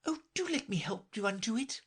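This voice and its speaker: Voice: medium pitch, very animated, a little slow, a bit bright, breathy edge, voice pushed up, exaggerated voice. The narrator voicing a polite, curious little girl who is eager and humble.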